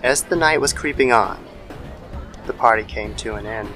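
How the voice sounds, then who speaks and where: A man's voice: medium pitch, normal speed, bright, forceful tone. Male horror storyteller over prominent music. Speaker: narrator. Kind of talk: scripted horror story narration in old-time radio style. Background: background music.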